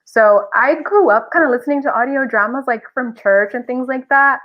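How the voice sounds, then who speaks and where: A woman's voice: high voice, moderate pace. Enthusiastic woman guest on lo-fi podcast call. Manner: enthusiastic, expressive. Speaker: interview guest, audio drama voice actress. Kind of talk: casual podcast chat.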